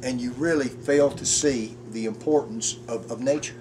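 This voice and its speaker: A man in his fifties talking earnestly and reflectively in a casual porch conversation, often restarting his sentences. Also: medium pitch. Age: 50s. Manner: earnest, halting, with frequent restarts. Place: outdoors on a front porch. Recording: distant mic with background noise.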